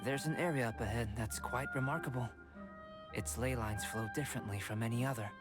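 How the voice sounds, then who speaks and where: A man plays a composed young alchemist with a mid-pitched voice who speaks precisely and formally. He stays measured and scholarly while game music plays behind him. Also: lightly acted. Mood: analytical. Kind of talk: game cutscene voice acting.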